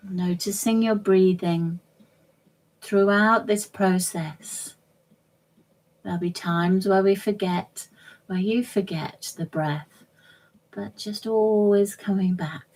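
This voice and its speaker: A woman guides a relaxation session in a gentle, soothing manner. Her voice sits a little lower than average for a woman. She moves extremely slowly, placing short, measured phrases between long pauses, as a yoga nidra teacher does. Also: rough recording. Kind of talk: guided meditation, relaxation instruction.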